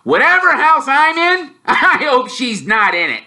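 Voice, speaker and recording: Guy doing an excitable storybook wizard boy, loud n bright, blurts stuff out, swoops way up. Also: very high voice, measured pace, pitched above the performer's own voice, exaggerated voice. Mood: grumbling. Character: excitable, blunt young wizard boy, easily gloomy.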